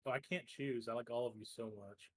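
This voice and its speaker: A man's voice: medium pitch, steady tone, a little fast, dry recording. A man chatting in character in a tabletop roleplaying game, hesitant and apologetic in manner. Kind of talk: casual roleplay chat.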